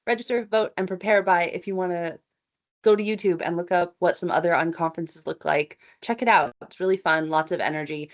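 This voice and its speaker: Upbeat woman, fairly low voice, presenting chattily on a call. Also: fairly even intonation, brisk. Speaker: event organizer presenting. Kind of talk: online meeting presentation. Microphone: computer mic on video call.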